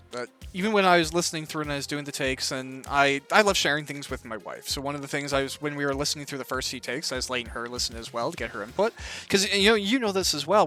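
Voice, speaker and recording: Bright-voiced man interviewing casually. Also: on the high side. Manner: encouraging, rambling questions. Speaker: interviewer, audiobook creator. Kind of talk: casual interview while playing a video game.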